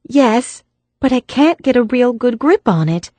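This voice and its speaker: A woman's voice: fairly high pitch, lively intonation, measured pace, dark tone, no room sound, pitched above the performer's own voice. A woman doing a plucky young American girl. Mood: earnest.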